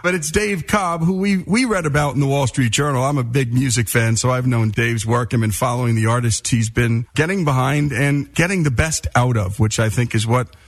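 A man's voice: medium pitch, a little fast, hardly any pauses. A man from northern New Jersey with a New Jersey accent, hosting a radio interview show and talking up his guest with enthusiasm. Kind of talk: radio interview with scripted intro.

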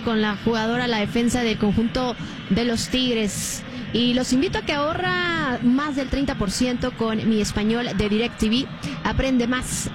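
Brisk Mexican football play-by-play commentator. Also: female voice, a little high, noisy background. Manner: brisk play-by-play with frequent fillers. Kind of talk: live football commentary and studio banter.

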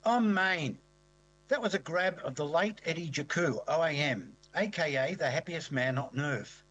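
Aussie radio host guy, fairly high voice, gushing and upbeat. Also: fairly bright tone, low-quality recording. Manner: enthusiastic, effusive. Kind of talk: radio interview hosting.

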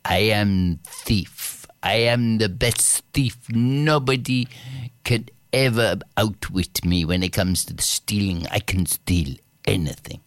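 A man's voice: fairly low pitch, slow pace, no echo. A man voicing a proud thieving mouse, boasting in broken speech. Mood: boastful.

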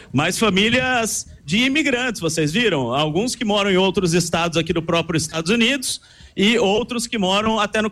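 A man with a high voice works the crowd outside a stadium as a live radio reporter. He talks in an easy, chatty way. His voice is recorded outdoors on a hand mic. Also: a bit bright. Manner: chatty, switches to slow careful English. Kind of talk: live street interviews.

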